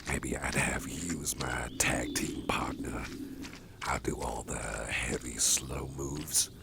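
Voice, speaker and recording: A man plays a huge, gruff but protective boss in an ASMR roleplay, rambling and chuckling as he goes. The voice sits extremely deep and gravelly, in a slow, unhurried drawl. Steady background noise with birds runs under it. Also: full character voice. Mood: easygoing. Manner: rambling, chatty, chuckles to himself. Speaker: boss character carrying the listener. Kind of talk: ASMR roleplay, personal attention.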